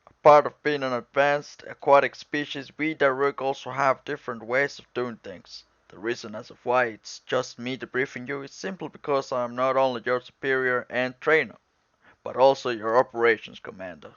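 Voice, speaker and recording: Guy doing an octopus-like alien commander, measured n formal mentor type, cartoon briefing, bit muffled, no room sound. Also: medium pitch, steady tone, fairly bright tone, clean tone, no echo, lightly acted. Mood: instructive. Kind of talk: animated film dialogue.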